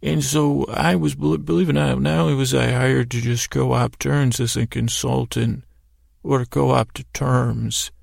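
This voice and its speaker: A man with a heavily creaky, gravelly voice hosts a bedtime podcast in a very dry, close sound. He wanders through whimsical asides, stumbling and doubling back on his words. His pitch sits in an ordinary middle range for a man. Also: steady tone, no room sound. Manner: meandering, halting, stammering with repeated words; whimsical, gently addressing imagined listeners. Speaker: podcast host. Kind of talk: rambling bedtime sleep-podcast monologue.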